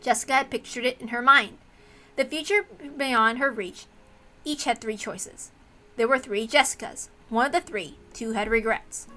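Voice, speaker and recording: A woman's voice: fairly high pitch, normal speed, sharp tone, soft background music. A woman reading a visual novel aloud as narrator, in a dramatic scripted storytelling style. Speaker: narrator and reader.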